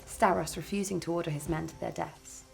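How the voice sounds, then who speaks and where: A woman narrates a scripted video essay in a fairly low voice with noticeable vocal fry. She reads as a narrator, with clear music playing behind her. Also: fairly even intonation, fairly quick, a little mellow.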